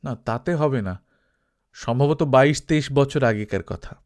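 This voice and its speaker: A man's voice: medium pitch, lively intonation, unhurried. A man playing a nostalgic, slightly awkward middle-aged man in a storybook reading, polite and hesitant. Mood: nostalgic, inquiring.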